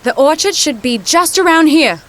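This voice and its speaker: A determined young adventurer, played by a woman in a comic audio drama. Her voice is high and very animated, and she comes across as brisk and assertive. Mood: purposeful. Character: plucky, determined young female time-travelling adventurer.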